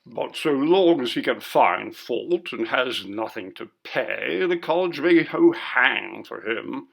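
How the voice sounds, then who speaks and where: Man playing a pompous, creaky college bursar. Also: medium pitch, expressive, slow, fairly bright tone, exaggerated voice. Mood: indignant. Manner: pompous, curt, disapproving. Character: curt, pompous, complaining college bursar, male. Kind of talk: storytelling in character, classic ghost story.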